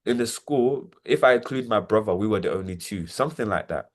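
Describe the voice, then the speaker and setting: Man, 20s, from Nigeria; low-voiced, halting testimony. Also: lively intonation. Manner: hesitant, repeats words, many fillers. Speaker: interview guest. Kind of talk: reflective personal testimony.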